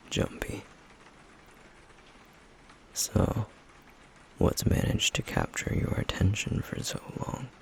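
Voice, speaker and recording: A man voicing a stoic, guarded flame demon in an intimate ASMR roleplay, with a breathy, gravelly murmur and a terse, deadpan, caring manner. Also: fairly low pitch, extremely slow, long pauses, a little hiss or hum, exaggerated voice. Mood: dry, curious.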